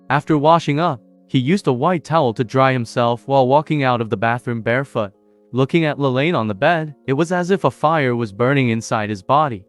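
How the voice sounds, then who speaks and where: A man reading scripted narration for an animated story in a steady, even storytelling manner. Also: medium pitch, no room sound. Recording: dry voice-over with faint music.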